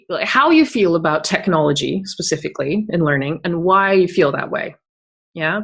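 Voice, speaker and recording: A woman in her thirties from Scotland, her accent softened by years abroad, answering interview questions as a tech coach in a candid, upbeat way, with a ready laugh and lots of "you know". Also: fairly low pitch. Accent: Scottish, softened by years abroad.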